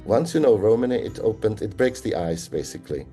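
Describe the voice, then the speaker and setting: A man from the Czech Republic with a Czech accent, speaking English as a guest in an interview, reflective and halting with frequent pauses. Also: lowish voice, sing-song, loud music behind the voice. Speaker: guest linguist. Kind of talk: conversational interview answers.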